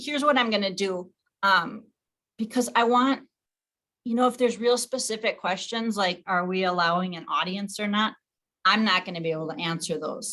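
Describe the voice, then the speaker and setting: Woman, meeting leader, bright clear-cut tone, conversational, thinks aloud as she explains. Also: medium pitch. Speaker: league official leading an online meeting. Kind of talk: informal online meeting talk.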